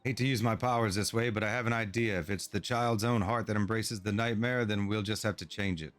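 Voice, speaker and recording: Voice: medium pitch, steady tone, a little fast, lightly acted. An actor voicing a proud, aloof fae guardian in a game cutscene, theatrical in manner, over faint game music. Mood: concerned.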